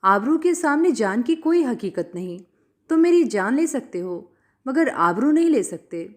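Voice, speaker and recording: Storyteller doing defiant indignant woman, high voice, accusing, very lively, audiobook style. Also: measured pace.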